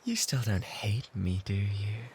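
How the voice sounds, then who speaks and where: A man with a British accent playing an obsessive, lovesick pirate in an intimate ASMR roleplay, breathy and often whispering, pleading theatrically and devotedly. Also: fairly low pitch, measured pace, darkish tone. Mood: tender longing. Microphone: close binaural microphone. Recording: close binaural recording with background ambience noise.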